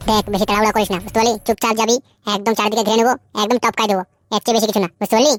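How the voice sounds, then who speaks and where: A man's voice: high voice, flat delivery, racing, fairly bright tone, soft background music. A man voicing a menacing, taunting hired thug in a comedy cartoon. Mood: hushed, commanding. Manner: taunting, coarse, threatening. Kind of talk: Bengali comedy cartoon dubbing.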